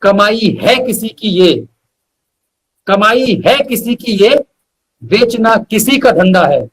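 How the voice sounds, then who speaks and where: A man speaking as a guest poet in a live interview, reciting verse and explaining it, emphatic and repeating lines deliberately. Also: high voice, very animated, slight background noise, poor audio.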